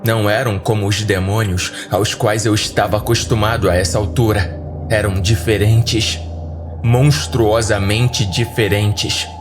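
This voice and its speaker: A man's voice: on the low side, fairly even intonation, a little slow. A man with a Brazilian accent narrating a scripted horror story dramatically for a podcast. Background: background music.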